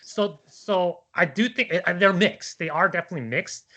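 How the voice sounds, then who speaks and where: A man's voice: high-pitched, moderate pace, bright, forceful tone. A man in casual conversational debate, thinking aloud in a discursive, hesitant way and often checking for agreement. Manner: hesitant, discursive, seeks agreement with tag questions.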